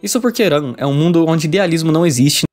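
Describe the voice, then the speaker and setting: A man's voice: a little high, animated, fast, darkish tone, soft background music. A man with a Brazilian accent giving scripted narration for a video essay.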